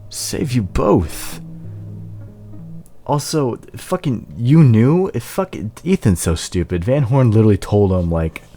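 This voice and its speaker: A man with a low voice hosts in a loose, chatty style. He is irreverent and self-deprecating, and music plays clearly behind him. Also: warm tone. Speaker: host. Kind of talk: casual self-mocking commentary.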